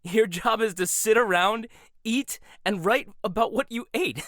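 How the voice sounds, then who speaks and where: A man's voice: high voice, unhurried, a bit bright, no room sound, clean studio sound. A man playing a proud, combative young head chef in an audio roleplay, talking in a sarcastic, confrontational way. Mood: mocking. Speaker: voice actor.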